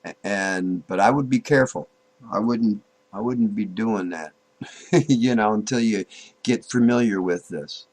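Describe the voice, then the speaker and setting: A man with a fairly low voice walks through software in an informal, unscripted way. His delivery is very slow and halting. He thinks aloud and repeats himself as he works through each step. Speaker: software tutorial presenter. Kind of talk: informal screen-recorded tutorial, unscripted walk-through.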